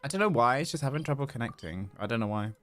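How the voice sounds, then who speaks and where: A man livestreaming, talking casually to his chat in a halting, muttering way. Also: medium pitch, expressive, fairly bright tone, a little hiss or hum. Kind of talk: casual live stream chat.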